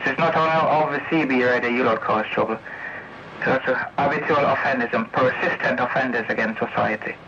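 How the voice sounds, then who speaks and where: A man's voice: mid-range voice, a little fast. An angry English man ranting confrontationally and repetitively over CB radio, swearing often. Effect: CB radio transmission. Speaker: CB radio user. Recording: noisy, muffled vintage CB radio recording.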